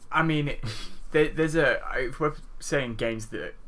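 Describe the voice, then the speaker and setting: Bright, forceful man in casual chat. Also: medium pitch, a little slow. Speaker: podcast panelist.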